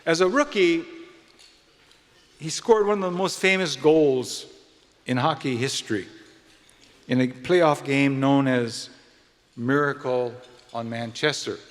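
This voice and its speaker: A man from Hawaii with a Hawaiian accent hosts an event live, giving a folksy, slightly halting speech to guests in a large indoor venue. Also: medium pitch. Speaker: event host and speaker. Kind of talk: live welcome speech with jokes and introductions. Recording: long hall reverb from venue PA.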